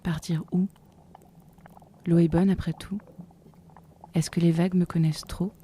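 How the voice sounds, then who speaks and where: A woman acting a hesitant, dreamy sea-dweller in an audio fiction, musing and questioning softly to herself. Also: fairly low pitch, level tone, measured pace, slightly rough. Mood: doubtful. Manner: musing, questioning, soft-spoken.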